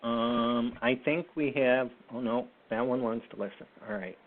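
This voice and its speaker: A man's voice: mid-range voice, unhurried, a little hiss or hum. A man from upstate New York hosting a casual talk show, easygoing as he steers the talk. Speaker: host. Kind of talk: casual chat. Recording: phone-like call audio.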